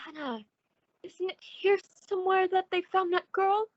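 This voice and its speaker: A timid, frightened woman played by an actress in a radio thriller. Her voice is extremely high, hesitant and pleading, on a close, slightly dull lo-fi recording. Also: normal speed, dry recording. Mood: fearful, pleading. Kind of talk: radio suspense drama dialogue.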